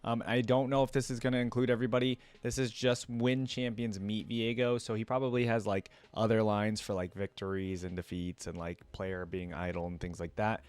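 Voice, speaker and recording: Man, lowish voice, dry recording, conversational reactor, curious, thinks aloud. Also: little pitch movement, a little fast, hardly any pauses, darkish tone, clean tone, dry recording. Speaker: reaction video host. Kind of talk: casual commentary, reading wiki text aloud and reacting.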